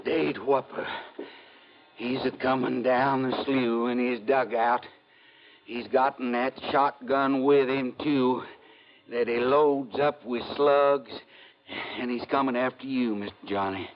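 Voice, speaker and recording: Man acting a slow-drawling, frightened swamp man. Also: medium pitch, fairly even intonation, lightly acted. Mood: fearful warning. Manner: anxious, folksy, deferential. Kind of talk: 1950s radio drama acting. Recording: muffled vintage radio recording.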